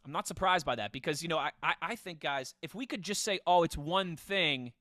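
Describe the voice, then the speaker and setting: A man's voice: on the high side, fairly quick, bright, forceful tone, dry recording, studio quality. A man hosting a casual sports podcast, talking conversationally. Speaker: podcast host.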